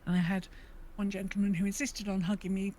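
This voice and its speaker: A woman chatting casually as a podcast host, telling stories in an animated way and acting out what people said. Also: mid-range voice, brisk, a little hiss or hum. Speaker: veterinary clinic worker. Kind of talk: casual chat.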